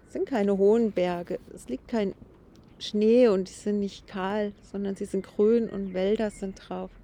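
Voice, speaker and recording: A woman voicing a gentle grown male horse who tells his life softly and simply as a meditation story. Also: medium pitch, steady tone, measured pace, close to an everyday voice. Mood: calm, reflective. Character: gentle twelve-year-old gelding horse telling his life. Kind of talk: first-person meditation storytelling. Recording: outdoor background noise with birdsong.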